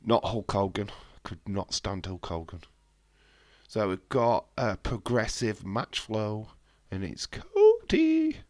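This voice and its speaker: A man's voice: fairly low pitch, huge pitch swings, slow, a little mellow, no echo, studio quality. A man with a head cold, chatting casually and bluntly on a live stream, swearing freely and answering viewers' messages. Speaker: wrestling watch-along streamer.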